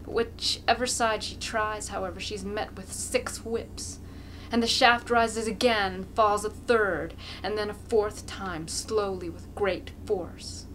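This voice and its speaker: Bright-voiced woman, theatrical story narration. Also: mid-range voice, fairly even intonation, takes it slowly, a little hiss or hum, low-quality recording. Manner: theatrical, intense. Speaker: amateur audiobook reader. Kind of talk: dramatic scripted narration of a classic novel.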